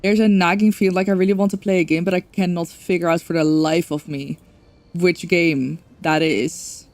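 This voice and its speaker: A woman's voice: on the low side, fairly even intonation, normal speed, a little mellow. A woman from the Netherlands with a Dutch accent, chatting casually while streaming a game, rambling with lots of fillers. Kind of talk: casual gameplay stream chat.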